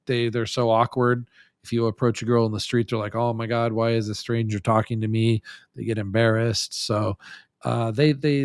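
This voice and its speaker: A man's voice: mid-range voice, darkish tone, no room sound, clean studio sound. A man talking casually and frankly as a podcast guest, in a loose, rambling way. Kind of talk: casual candid chat.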